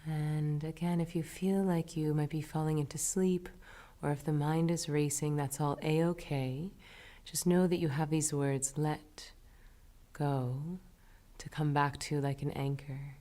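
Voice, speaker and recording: A low-voiced woman leads a guided meditation. She gives her instructions gently and soothingly, taking her time with each phrase. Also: level tone, a little slow.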